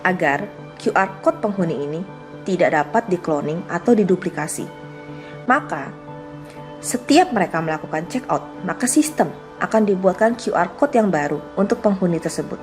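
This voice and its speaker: A woman's voice: on the low side, animated, normal speed, darkish tone, soft background music. A woman giving a scripted, explanatory product demo narration.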